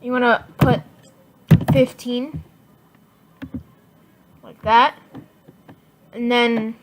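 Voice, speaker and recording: A woman giving a casual software tutorial in informal, halting step-by-step instructions. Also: on the high side. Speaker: tutorial presenter. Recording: noisy home computer mic, clicks.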